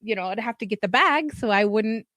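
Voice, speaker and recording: Woman, middle-aged, podcast host, mid-pitched voice, affirming, chatty storytelling, laughs often, dry close sound. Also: expressive, brisk, fairly bright tone, no room sound. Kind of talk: casual interview conversation.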